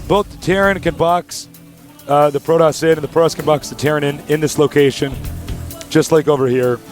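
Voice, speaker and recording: A young man calls a live esports match from a packed studio, his voice sitting fairly high for a man. He hypes the players like a ring announcer and keeps tossing jokes to his co-caster. Faint music plays underneath. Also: fairly even intonation, a little fast. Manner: hyped, joking, announcer-like. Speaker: esports commentator. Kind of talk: live play-by-play commentary.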